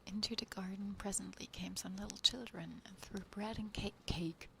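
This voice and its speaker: A young woman whispers close to the microphone, her soft voice carrying a gravelly edge. She reads slowly and gently, as an ASMR streamer talking to her viewers. A faint hiss sits under the recording. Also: mid-range voice, steady tone, low-quality recording. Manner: soft whispering, chatting with chat. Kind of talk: whispered ASMR story reading.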